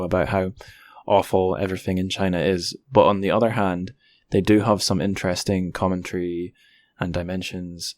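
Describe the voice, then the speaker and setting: A man's voice: low-pitched, a little mellow, dry recording. A man hosting a podcast interview, chatting casually and thoughtfully in a hesitant, roundabout way.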